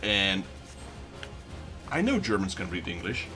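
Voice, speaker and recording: A man's voice: sits low, wildly swooping pitch, unhurried, music in the background. A man with a Dutch accent, a native Dutch speaker, giving casual let's play commentary and chatting with his viewers. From Netherlands. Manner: chatty, talks back to viewer chat.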